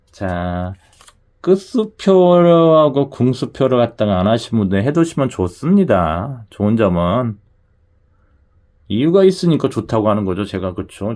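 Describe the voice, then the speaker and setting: A man's voice: fairly low pitch, darkish tone. A man with a regional Korean dialect hosts a chatty talk to viewers, often asking them to agree as he goes. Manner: chatty, repeats "그죠?" to the audience. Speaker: lottery channel host.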